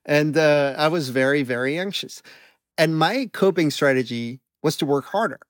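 A man's voice: fairly high pitch, moderate pace, dry recording, clean studio sound. A man with a Belgian French accent, a researcher explaining his studies and telling a personal story in an interview, thoughtful and self-deprecating. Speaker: emotion researcher guest. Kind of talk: conversational interview.

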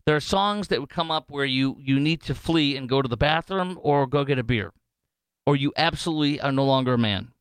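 A man's voice: medium pitch, fairly quick, clear, resonant tone, no room sound, poor audio. A man with an American accent hosting talk radio in an opinionated, jokey, emphatic way, often wandering off on digressions. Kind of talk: unscripted talk radio monologue with live ad reads.

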